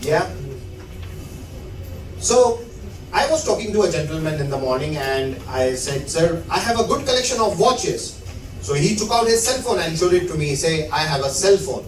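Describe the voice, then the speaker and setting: A man's voice: fairly high pitch, expressive, moderate pace, soft background music. A middle-aged man from India with an Indian English accent, giving a chatty talk to a live audience and asking them questions. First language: not English. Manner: chatty, asks the crowd questions. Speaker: watch specialist presenter. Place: room at a live event. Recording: echoey room, speaker far from mic.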